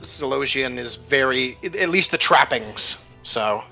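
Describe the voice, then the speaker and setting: A man's voice: medium pitch, lively intonation, slow pace, pushed and bright. A man running a tabletop game as game master, casually explaining the world's lore and thinking aloud as he goes. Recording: slightly dull lo-fi audio over faint music. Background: faint music.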